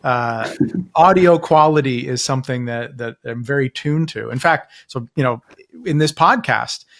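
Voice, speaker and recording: A man's voice: medium pitch, unhurried, vocal fry, fairly bright tone. Podcast host making his case. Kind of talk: conversational interview.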